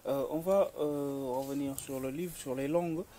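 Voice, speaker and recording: A man hosting a television interview, his voice mellow and warm in tone. He builds his questions slowly, pausing on "euh" and repeating words as he finds his way. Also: on the high side, fairly even intonation. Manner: hesitant, with many "euh" fillers and repeated words. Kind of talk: studio interview.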